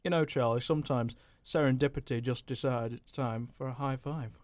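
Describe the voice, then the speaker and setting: An actor playing a grumbling, furtive ship's cook, muttering to himself in a radio drama monologue. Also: male voice, mid-range voice, fairly even intonation, moderate pace, darkish tone, slight background noise, natural voice.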